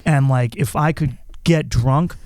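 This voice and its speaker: A man's voice: medium pitch, a little slow, a little mellow, no echo, studio quality. Profane, candid male podcast host. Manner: candid, profane, storytelling with quoted asides. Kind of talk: casual conversational interview.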